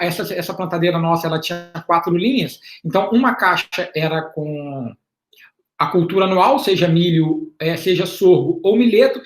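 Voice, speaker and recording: A man giving a slide presentation as an invited panelist in an online talk. He speaks in a halting, explanatory way, repeats words and often checks in with a questioning "right?". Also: high voice, fairly bright tone. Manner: explanatory, measured. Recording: roomy echo on an online call.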